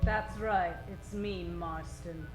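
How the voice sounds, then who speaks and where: A woman's voice: fairly low pitch, steady tone, takes it slowly, over music. Scheming femme fatale played by a woman, echoey room. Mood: gloating. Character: scheming, gloating villainess, cool femme fatale. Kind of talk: old-time radio drama acting.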